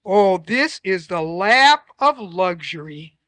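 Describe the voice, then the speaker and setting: A woman voicing a meek, kindly fisherman husband in a lowered, gentle voice. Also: expressive, slow pace, dry recording.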